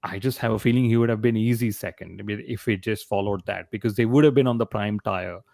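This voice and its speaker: A man's voice: fairly low pitch, fast, creaky, a little mellow, no echo. A man with an Indian accent hosting a casual motorsport podcast discussion, steering it with questions. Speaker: podcast host.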